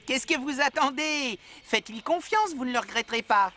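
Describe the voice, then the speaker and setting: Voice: sits high, expressive, moderate pace, pushed and bright, faint music behind. A performer voicing a cocky, optimistic little creature adventurer in a cartoon, confident and encouraging. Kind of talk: French cartoon dubbing.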